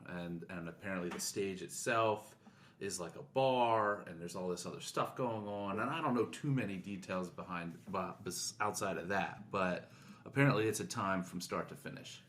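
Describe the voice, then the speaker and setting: A man's voice: low voice, fairly bright tone, slight background noise. A man co-hosting a podcast in casual chat. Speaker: podcast co-host.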